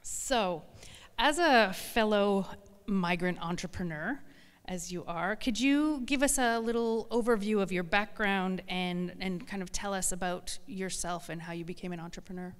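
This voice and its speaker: A woman's voice: medium pitch, a little slow, smooth. A woman hosting a live event, making informal announcements in a hesitant, improvised way. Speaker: event host and presenter. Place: large indoor event space, live on stage. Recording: echoey hall, live event PA.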